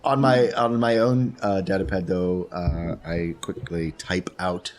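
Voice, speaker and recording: A man playing a tabletop role-playing game, talking casually in a measured, hesitant way. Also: sits low, flat delivery, darkish tone, slight background noise.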